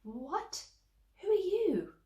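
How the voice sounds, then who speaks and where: A woman's voice: extremely high pitch, huge pitch swings, drawn-out words, dark tone, voice pushed up, exaggerated voice. A woman voicing a sweet, timid young girl in a storybook tale, wistful and full of wonder. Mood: surprised, unsure. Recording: echoey room.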